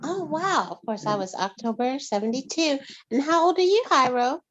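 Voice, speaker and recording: A woman in her fifties chatting casually, lively and teasing, quick to laugh. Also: high voice. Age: 50s. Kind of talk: casual group chat. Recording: muffled with faint noise.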